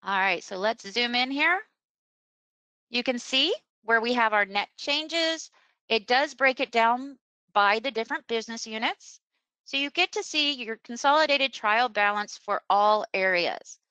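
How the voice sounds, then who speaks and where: A woman presenting a webinar as a software trainer, explaining a screen demonstration step by step in an unscripted way. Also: fairly high pitch, unhurried, clear, resonant tone, no room sound, poor audio. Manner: instructional, step-by-step.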